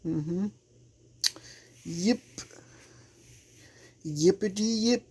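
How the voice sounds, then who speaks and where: Chill guy doing lets play commentary, mellow voice, slow, kinda thinking out loud. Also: sits high, slight background noise. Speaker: game streamer. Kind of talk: casual let's play commentary.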